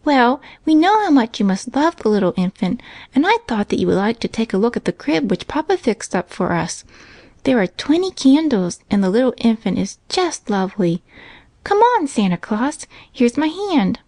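A little storybook girl, eager and trusting as a woman reader plays her. Her voice is high and sweet, with an earnest, coaxing manner. It is a close, dry recording. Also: sing-song, normal speed, darkish tone, no room sound. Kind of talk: storybook character voice.

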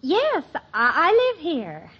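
Excitable teenage daughter, American, dramatic, unhurried pace, 1950s radio sitcom, muffled old recording. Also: female voice, high voice, exaggerated voice. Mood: flustered, smitten. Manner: gushing, dramatic. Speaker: actor in a family situation comedy.

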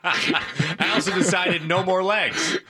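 A man co-hosting a morning radio show, a former athlete who makes wry, deadpan jokes. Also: high voice, slow, a loud crowd. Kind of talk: casual morning radio banter.

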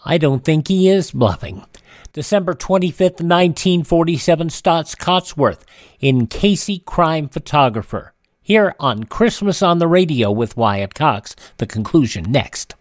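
Lively, higher-pitched male radio host. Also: unhurried, no room sound, clean studio sound. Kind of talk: scripted radio introduction.

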